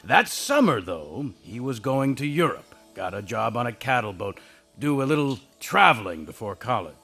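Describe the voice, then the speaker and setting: A man's voice: medium pitch, sing-song, creaky, sharp tone, slightly rough, faint music behind. Man narrating a radio play. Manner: storytelling, measured. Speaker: senior angel narrating.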